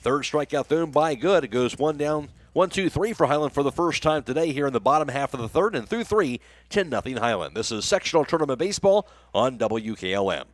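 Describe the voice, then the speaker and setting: A man's voice: mid-range voice, lively intonation, a little fast, no echo. An American man doing live radio play-by-play commentary of a baseball game.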